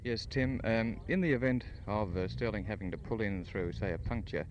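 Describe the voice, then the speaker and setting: A man's voice: fairly low pitch, normal speed, highs cut off. A man giving formal, hesitant live motor racing commentary and interviews in the manner of 1950s radio. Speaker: radio sports broadcaster. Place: outdoors trackside at a motor racing circuit. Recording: noisy 1958 outdoor radio broadcast. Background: racing cars and engine noise.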